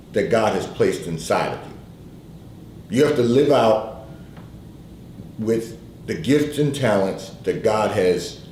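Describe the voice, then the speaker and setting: A man hosting a faith advice livestream, giving spoken devotional guidance in a slow, repetitive preaching cadence, hoarse from allergies and clearing his throat. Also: medium pitch, darkish tone, roomy sound, slight background noise, poor audio.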